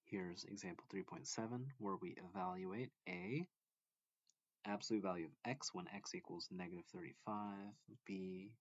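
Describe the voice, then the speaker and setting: Low-voiced man teaching math, echoey room. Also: moderate pace, lo-fi sound. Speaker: math teacher reading a textbook aloud. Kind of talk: instructional read-along of a math textbook.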